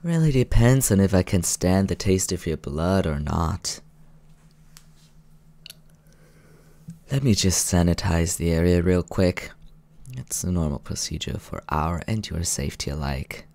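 A man plays a polite, softly teasing male vampire in an intimate ASMR roleplay. The voice is low with a gravelly fry, calm and unhurried. It sits close to the mic in a very dry space. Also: no room sound. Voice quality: low, creaky. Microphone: close microphone.

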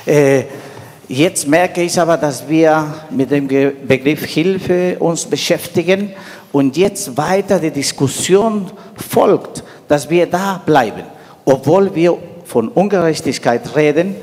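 A middle-aged man from Peru with a Peruvian Spanish accent, making a deliberate, halting comment from the audience. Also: a little high, darkish tone. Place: large wood-panelled conference hall. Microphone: handheld microphone. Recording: long hall reverb.

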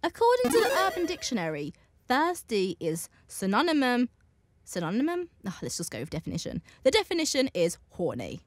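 A woman's voice: fairly high pitch, huge pitch swings, moderate pace, no room sound. Teasing young woman host. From Birmingham, England. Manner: teasing, playful. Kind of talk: scripted presenting and casual chat. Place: indoor living room set.

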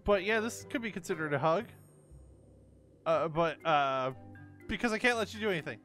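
A woman's voice: fairly low pitch, animated, moderate pace, a bit bright, soft background music. A woman playing a nervous, well-meaning unicorn best pal in an improvised game roleplay, hesitant and pleading as she stammers. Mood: anxious.

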